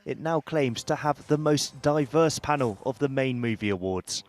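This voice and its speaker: A male reporter reading a scripted entertainment news package in crisp, punchy phrases. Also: mid-range voice, lively intonation, normal speed.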